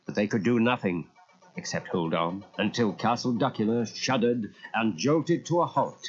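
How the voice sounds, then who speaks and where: A man giving an animated narration of a children's story as a storyteller. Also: fairly low pitch, normal speed. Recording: muffled old audio cassette.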